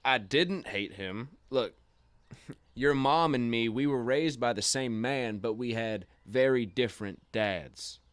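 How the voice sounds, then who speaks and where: A man's voice: mid-range voice, slow pace, clean tone, no echo, natural voice. Dry, close-sounding teasing uncle, played by a man. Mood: guarded, candid. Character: young Texan uncle, teasing, guarded former bull rider. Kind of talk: naturalistic radio play acting.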